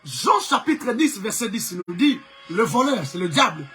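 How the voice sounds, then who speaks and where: A male Congolese pastor whose first language is Chokwe preaches in French with a strong accent. His voice is strikingly high and sharp, and he keeps shouting and repeating lines for emphasis. Soft music plays faintly behind him. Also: very animated, normal speed. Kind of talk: live-streamed preaching sermon.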